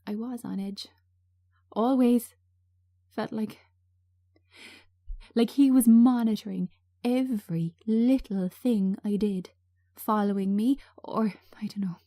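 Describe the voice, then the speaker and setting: A woman reading a script as a traumatised, anxious woman on edge, agitated and halting as she repeats herself. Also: a little high, dark tone, no room sound, studio quality. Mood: anxious, haunted.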